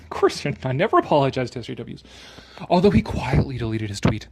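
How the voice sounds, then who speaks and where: A man's voice: medium pitch, very animated, a little fast, vocal fry, slight background noise. A man doing a sarcastic dramatic reading, breaking off for casual, opinionated and mocking commentary with some swearing. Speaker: commentator reading an article aloud with his own remarks.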